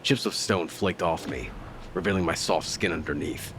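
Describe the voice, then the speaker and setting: A man's voice: sits low, moderate pace, noisy background, close to an everyday voice. An actor playing a battered, gritty fighter, narrating his own story in an audio drama with grim intensity. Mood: grim, weary. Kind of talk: dramatic first-person scripted narration. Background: loud music.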